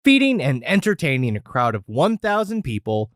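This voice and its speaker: A man's voice: mid-range voice, huge pitch swings, normal speed, no echo, clean studio sound. A man co-hosting a podcast, chatting casually in restaurant-review banter. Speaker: podcast co-host.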